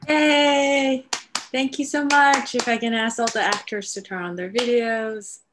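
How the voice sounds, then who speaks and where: A woman's voice: fairly high pitch, fairly even intonation, measured pace, noisy background. A middle-aged woman moderating an online question-and-answer session as host, on a video call with a headset. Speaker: host, writer and director. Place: indoor room, video call. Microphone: video call, wearing headset.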